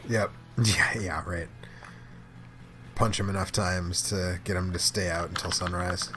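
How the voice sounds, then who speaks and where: A man chatting casually as he plays a game, commenting in rambling, halting asides. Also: low voice, fairly even intonation, over music. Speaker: host playing an arcade game. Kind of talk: casual gaming chat and commentary. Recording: clearly noisy room, clicking controls and keys.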